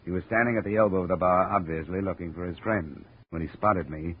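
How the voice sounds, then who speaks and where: Male actor as hard-boiled American insurance detective, low and terse, old radio drama on a muffled narrow-band recording. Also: quick pace, slight background noise, lightly acted. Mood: grim narration. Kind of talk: 1950s radio drama acting with first-person narration.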